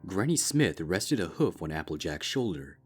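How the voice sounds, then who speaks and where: A man with a low, deep voice reads a dark story aloud in a steady, measured way. Soft music sits faintly underneath. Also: slightly rough. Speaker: fanfic reader. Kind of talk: scripted narration.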